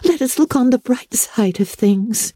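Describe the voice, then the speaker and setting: A woman's voice: fairly high pitch, animated, unhurried, mellow, dry recording, voice pushed up. A woman voicing a dramatic, imaginative young girl who talks in a solemn, theatrical way. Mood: brave.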